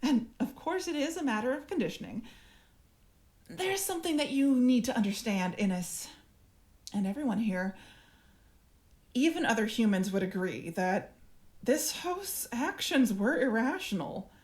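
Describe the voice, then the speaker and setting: A woman playing a cool, smug alien parasite speaking through its human host, measured and formal, in an audio drama. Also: a little high, lively intonation, a little mellow. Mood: smug, persuasive.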